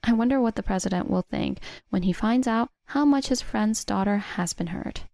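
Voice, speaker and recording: Female narrator for first-person drama stories: measured read, mid pitch, creaky edge, very dry sound. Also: warm tone, no echo. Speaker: story narrator.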